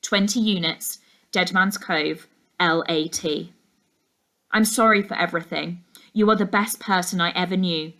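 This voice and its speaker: A woman's voice: on the low side, measured pace, fairly bright tone. A woman voicing a shy, desperate schoolboy's written messages in a children's story, solemn and earnest. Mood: solemn, urgent. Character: shy, desperate schoolboy writing a farewell letter.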